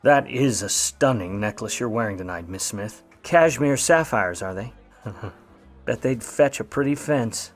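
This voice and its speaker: A man's voice: mid-range voice, animated, a little slow, faint music behind, natural voice. Man playing a sardonic 1950s private eye. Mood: sardonic, amused. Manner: sardonic, needling, slangy. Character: grudging, wisecracking male 1950s private eye. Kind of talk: noir radio-play acting with narration.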